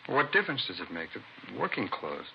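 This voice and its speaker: A man's voice: lowish voice, wildly swooping pitch, fairly quick, gravelly, lo-fi sound, noisy background, heavily acted. A man acting an evasive, defensive murder suspect in a 1950s radio drama, stammering when pressed, on a vintage recording. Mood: nervous, defensive.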